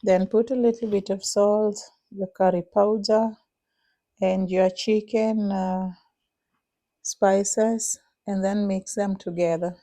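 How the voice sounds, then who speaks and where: A young woman with a Kenyan accent talking through her cooking in a casual vlog, pausing often with "uh". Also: medium pitch, steady tone, warm tone, no echo. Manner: unhurried, many "uh" pauses while cooking. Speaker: vlogger.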